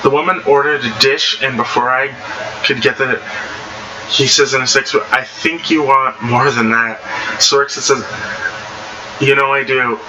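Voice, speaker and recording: A man with a mid-pitched voice reads stories aloud in a casual, unpolished way. He stumbles and goes back over phrases. The amateur recording has plainly audible noise behind him. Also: steady tone, unhurried, long pauses, sharp tone, low-quality recording. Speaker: host reading online stories aloud. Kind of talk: casual read-aloud with off-the-cuff reactions.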